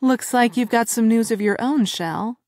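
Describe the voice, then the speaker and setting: A woman's voice: fairly high pitch, normal speed, a little mellow, no echo, clean studio sound, lightly acted. A woman voicing a giddy young woman newly in love, gushing and teasing her friend.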